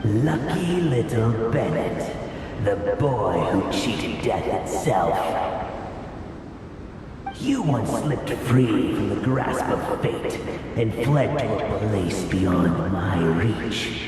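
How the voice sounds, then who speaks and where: A man playing a menacing embodiment of death in a video game, taunting with theatrical, mocking, drawn-out delivery. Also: on the low side, animated, a loud crowd. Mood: sinister gloating. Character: gloating, menacing male embodiment of death and fate. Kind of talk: dramatic video game villain acting. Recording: cavernous long reverb, distant, under music.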